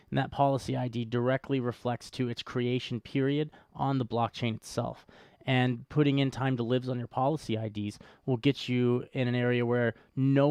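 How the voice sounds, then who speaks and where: A man's voice: medium pitch, creaky, a little mellow, no echo. A man co-hosting a livestream, explaining things casually in informal, laid-back phrasing. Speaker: co-host of a crypto show.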